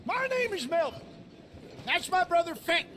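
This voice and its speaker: Animated, high-voiced older outlaw con man. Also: moderate pace. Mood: showman's patter. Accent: American. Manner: theatrical, wheedling, teasing. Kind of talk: video game character acting. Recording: loud music behind the voice.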